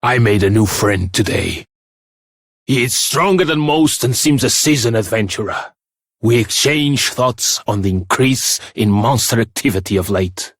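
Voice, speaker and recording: An actor playing an earnest, seasoned warrior reflecting solemnly in a video game journal monologue. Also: male voice, medium pitch, animated, measured pace, husky, dry recording, studio quality. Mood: weary resolve.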